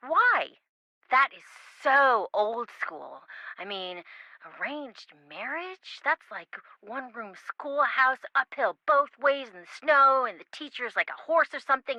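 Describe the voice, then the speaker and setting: A young woman friend in a video game, excitable and dramatic. Her voice is high and very bright, with animated swings in pitch. She talks in casual slang and plays everything up, recorded close and clean. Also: dry recording, muffled recording, full character voice.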